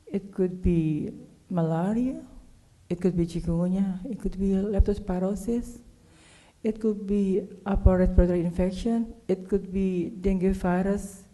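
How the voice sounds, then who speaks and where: A woman from Indonesia with an Indonesian accent gives a medical conference presentation in halting, non-native English as a specialist speaker. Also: medium pitch, fairly even intonation, mellow, rough recording. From Indonesia, Java. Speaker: clinical pathologist, conference speaker.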